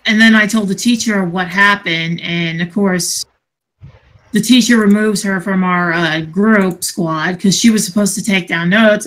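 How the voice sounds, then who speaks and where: A woman chatting casually and telling stories in a sweary, animated way, acting out what people said. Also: mid-range voice, poor audio. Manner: sweary, animated retelling with acted-out dialogue. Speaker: podcast co-host. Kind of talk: casual storytelling chat.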